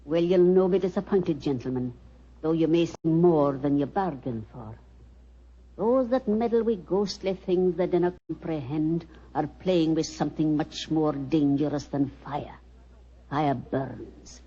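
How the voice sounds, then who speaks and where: An actress playing an ominous, superstitious Scottish housekeeper with foreboding delivery in 1940s radio drama style. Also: female voice, low voice, warm tone, husky. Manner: foreboding, deliberate. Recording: muffled old radio recording.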